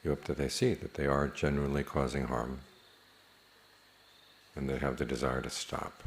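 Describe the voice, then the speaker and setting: A man teaching a guided meditation in a measured, plain-spoken manner, leaving long pauses between phrases. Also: very deep voice, little pitch movement, slight background noise.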